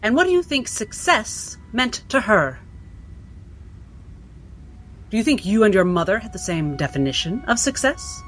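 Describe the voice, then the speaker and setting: A woman's voice: mid-range voice, sing-song, normal speed, fairly bright tone. A woman playing a coldly probing interrogator in a 1960s-set drama, formal, pointed and pressing. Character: relentless, coldly probing female interrogator questioning a doctor. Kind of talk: 1960s cinematic drama acting.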